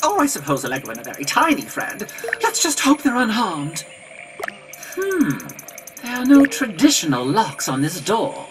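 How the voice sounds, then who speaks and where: Game robot sidekick, very swoopy intonation, wry, plucky, articulate, music clearly behind. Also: female voice, medium pitch, wildly swooping pitch, normal speed. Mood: wry, thoughtful. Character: plucky, excitable robot companion, wry and protective. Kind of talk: scripted video game dialogue.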